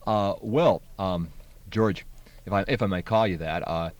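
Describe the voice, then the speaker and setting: A man's voice: deep voice, unhurried, darkish tone. A man playing an awkward, polite radio interviewer with a formal, stammering manner in a comedy sketch. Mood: polite, earnest. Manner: hesitant, stammering, formal, circuitous.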